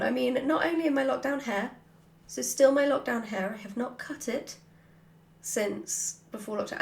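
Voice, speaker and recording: A woman vlogger answering questions off the cuff in an animated, informal, jokey way. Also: sits high, creaky. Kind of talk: casual chatty Q&A, off the cuff. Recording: roomy, echoey sound.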